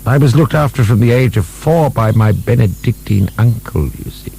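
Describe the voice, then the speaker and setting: An actor playing an embittered old marquis in a radio drama, sardonic and theatrical, his gravelly, breathy voice often dropping to whispers. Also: medium pitch, animated, normal speed, low-quality recording. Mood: sardonic, bitter. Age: older. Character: aging, embittered, feverish male libertine marquis. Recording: soft recording with background hum.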